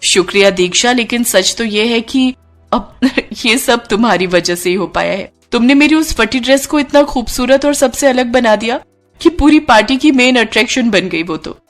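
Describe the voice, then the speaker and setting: A woman's voice: a little high, expressive, moderate pace, soft background music, voice pushed up, natural voice. A woman plays a glamorous young actress in a cartoon tale. She sounds confident and effusive, bossing affectionately like an elder sister, with grateful feeling in her delivery. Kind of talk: Hindi cartoon story dialogue.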